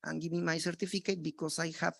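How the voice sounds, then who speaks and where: A man from Mexico, a native Spanish speaker with a Mexican Spanish accent, making an earnest, halting public comment in broken English. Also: fairly high pitch, little pitch movement, gravelly, fairly bright tone. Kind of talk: public comment at a board meeting.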